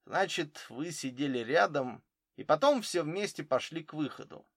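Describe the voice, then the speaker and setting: A man's voice: on the high side, lively intonation, no room sound, clean studio sound. Man reading as courteous, probing detective. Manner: brisk, precise questioning. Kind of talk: audiobook character dialogue.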